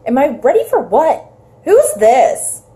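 A woman's voice: high voice, wildly swooping pitch, slow, warm tone, full character voice. A young woman playing a fed-up, firm housewife who is pestered by prank phone calls. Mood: weary, firm. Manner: exasperated, firm. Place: small room at home.

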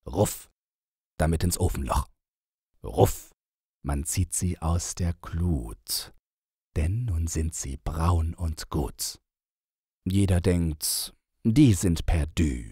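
A man narrating a storybook in verse as an audiobook reader, measured and with dramatic pauses. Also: low voice, expressive, mellow, slightly rough, no room sound, studio quality.

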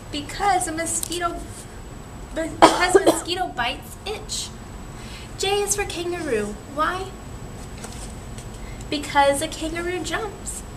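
Young woman reading a kids book out loud, teachery w lots of questions, high voice, far from mic w background noise. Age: young adult. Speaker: reader of a children's picture book. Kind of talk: read-aloud to a group of children, with questions. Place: small indoor room, seated in a chair. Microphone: camera a few metres away. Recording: distant camera mic, clearly noisy room.

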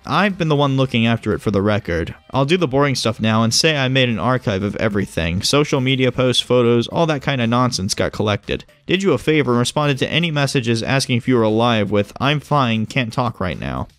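A man acts as a breezy, smug insider in an audio drama, explaining things with glib ease. His medium-pitched voice is recorded dry and close to echo-free. Light music plays behind it. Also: lively intonation, a little fast, few pauses, a bit bright, close to an everyday voice. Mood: casual, smug. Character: breezy, smug male agent who knows hidden things.

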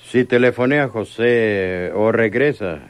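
Deep cold gang boss played by a man, bossy, radio drama, dry sound. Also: measured pace, dry recording, natural voice. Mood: cold, suspicious. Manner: authoritative. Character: cold, commanding male crime boss.

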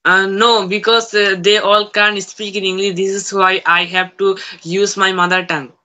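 A man's voice: high-pitched, flat delivery, moderate pace, pushed and bright. A teenage boy whose first language is Bangla, practicing English conversation on a video call from home, hesitant with many fillers. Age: teens. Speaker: student learner. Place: room at home. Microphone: phone on a video call.

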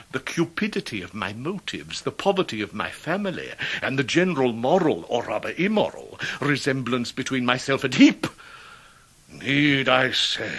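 An actor playing a pompous, indignant, debt-ridden clerk in a radio drama, with grandiloquent, theatrical oratory. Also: male voice, medium pitch, a bit bright, slightly rough. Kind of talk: 1990s radio drama acting.

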